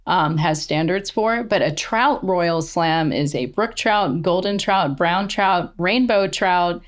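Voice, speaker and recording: A middle-aged woman, a podcast guest and angler, telling her stories with enthusiasm and frequent laughs. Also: on the low side. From born in Australia, raised in the eastern United States. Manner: enthusiastic storyteller, laughs often. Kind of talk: casual interview chat.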